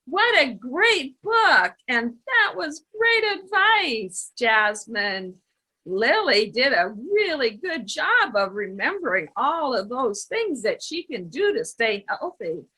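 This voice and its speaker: Female kids' show host, high bright voice, unhurried, addressing young children. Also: big pitch swings, husky, rough recording.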